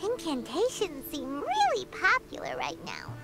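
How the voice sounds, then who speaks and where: A woman's voice: huge pitch swings, a little slow, creaky, over loud music, heavily acted. A woman voicing a tiny, perky, chatty fairy companion in a video game, who talks about herself in the third person. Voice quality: very high, squeaky, bouncy.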